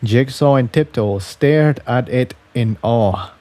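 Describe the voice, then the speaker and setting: A man narrating a scripted adventure story as a reader of fan fiction, with a somewhat halting delivery that stumbles over words. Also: mid-range voice, dark tone.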